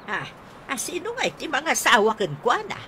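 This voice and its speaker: A fairly high-voiced, scolding mother with an Ilocano accent in a radio comedy. She nags in middle-aged fashion over a noisy recording. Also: big pitch swings, brisk, pushed and bright, heavily acted. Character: nagging, scolding mother in a comic drama.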